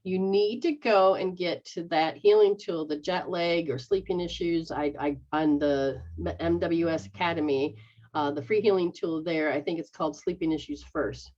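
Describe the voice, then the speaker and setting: A woman's voice: on the low side, steady tone, moderate pace, a little mellow. Chatty middle-aged woman on a dull line. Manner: rambling, explains step by step, checks understanding with "okay?". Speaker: metaphysical practitioner host. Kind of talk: casual instructional chat.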